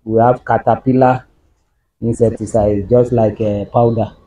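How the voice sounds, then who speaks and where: A middle-aged man with a Nigerian accent, patiently explaining farm work outdoors with halting speech and many fillers. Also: on the low side, level tone, mellow, slight background noise. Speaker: farm worker. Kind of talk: explaining farm practice on a walking tour. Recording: dull lo-fi sound.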